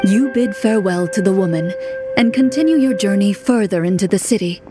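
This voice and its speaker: An actress playing a game master who narrates a tabletop story with measured care and voices a troubled townswoman. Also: mid-range voice, expressive, over music, natural voice. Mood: measured narration.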